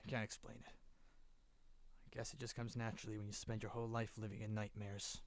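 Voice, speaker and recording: An actor playing a sarcastic, troubled young monster slayer in an amateur drama. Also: male voice, lowish voice, level tone, fast talker, lightly acted. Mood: subdued. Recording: noisy amateur mic.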